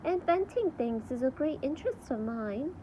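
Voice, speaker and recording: Lady reading as a polite clever teen girl from a storybook, highish voice, earnest, faint noise. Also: expressive, moderate pace. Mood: polite, eager. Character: well-mannered, inventive teenage orphan girl.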